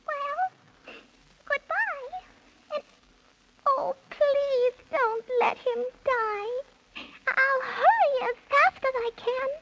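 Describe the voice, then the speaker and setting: A tiny, squeaky whiskery creature speaks slowly in plaintive, earnest rhyme. It belongs to a 1930s children's radio serial, on a muffled recording with heavy noise. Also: full character voice. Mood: tearful, determined. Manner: plaintive, quavering rhymed speech.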